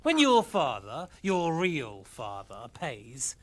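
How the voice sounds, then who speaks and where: An actor playing a smug, cruel warlord who taunts his captive in a theatrical, mock-polite, deliberate way, as in a video game cutscene. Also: mid-range voice, wildly swooping pitch, fairly bright tone, heavily acted. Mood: mock-polite menace.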